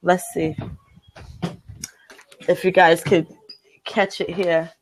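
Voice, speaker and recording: A woman's voice: on the low side, flat delivery, slow pace, slight background noise, poor audio. A woman with a Liberian accent hosting a motivational group chat, speaking earnestly and emphatically, often repeating phrases to drive a point home. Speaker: host.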